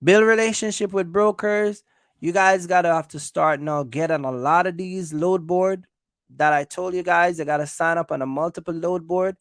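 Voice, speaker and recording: Higher-pitched, creaky-voiced man livestreaming advice. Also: steady tone, normal speed, no room sound, clean studio sound. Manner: emphatic and repetitive, addressing viewers directly. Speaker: host of a trucking business livestream. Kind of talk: casual livestream advice talk to viewers.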